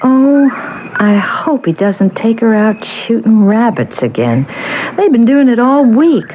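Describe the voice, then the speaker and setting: A woman's voice: fairly high pitch, big pitch swings, a little slow. An actress playing a fussy, anxious, nosy neighbour woman in an old-time radio drama, given to exclaiming. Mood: fretful gossip. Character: fretful, nosy woman neighbour watching and gossiping. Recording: thin lo-fi vintage radio, faint noise.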